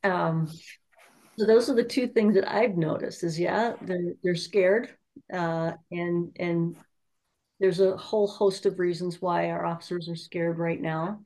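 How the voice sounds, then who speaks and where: Panelist: woman, slightly low pitch, hesitant and halting. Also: fairly even intonation, a little mellow. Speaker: training panelist. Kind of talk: panel discussion.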